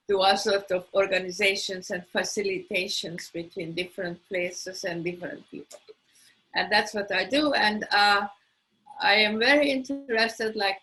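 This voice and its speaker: Bright-voiced older woman. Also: medium pitch, rough recording. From Hungary. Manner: hesitant, many uhs. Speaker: curator guest. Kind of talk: self-introduction.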